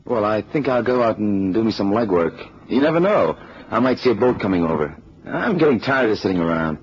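An actor playing a restless, streetwise investigator in a radio drama, talking in a colloquial, muttering way and keen for action. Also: male voice, fairly low pitch, animated, fairly quick, husky. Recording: muffled, noisy old radio recording.

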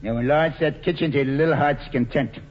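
A man's voice: fairly high pitch, brisk, close to an everyday voice. An actor playing a cocky, good-humored racketeer in a radio play, wisecracking in tough-guy slang. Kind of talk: 1940s radio play acting. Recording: muffled, noisy 1940s radio recording.

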